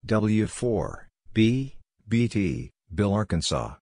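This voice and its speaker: Slow, low-voiced man reading deliberately. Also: big pitch swings, a little mellow, dry recording, clean studio sound. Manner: deliberate, spelling out letters and numbers one by one. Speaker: narrator of Morse code practice. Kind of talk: scripted read-out of callsigns and short exchanges.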